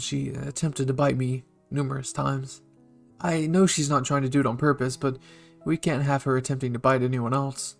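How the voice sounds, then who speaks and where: A man's voice: medium pitch, vocal fry, close to an everyday voice. A man voicing an eccentric, curious woman scientist who rambles with fillers, in a fan story reading.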